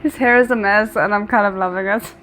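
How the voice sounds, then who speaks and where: A woman giving casual, expressive reaction commentary on a series, thinking aloud in an informal way. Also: mid-range voice, brisk, soft background music, rough recording. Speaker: reaction video host.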